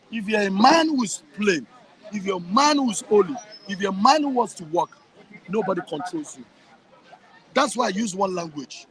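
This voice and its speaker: Impassioned high-voiced Nigerian man. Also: rough recording. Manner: passionate, emphatic, repeats phrases. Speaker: guest. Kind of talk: impassioned interview answers.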